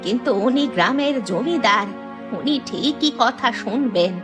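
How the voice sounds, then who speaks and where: A woman's voice: fairly high pitch, animated, measured pace, music in the background. A woman playing a distressed, lamenting poor village woman in a cartoon, with a plaintive, wailing delivery. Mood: plaintive, worried. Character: distressed, lamenting poor village women who lost their home. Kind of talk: Bengali cartoon dialogue acting.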